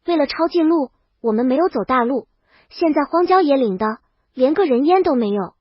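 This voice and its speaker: A woman with a notably high voice narrates a scripted story in the first person. The recording is very dry and somewhat dull, like a lo-fi voice track. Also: expressive, brisk, no room sound. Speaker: audiobook narrator.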